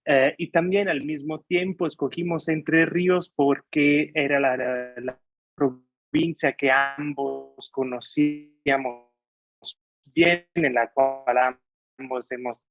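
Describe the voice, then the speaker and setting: A man's voice: medium pitch, steady tone, slow pace, phone-quality sound, soft background music. A young man whose first language is Italian, giving a lecture over a video call, hesitant with many fillers and restarts. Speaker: guest lecturer.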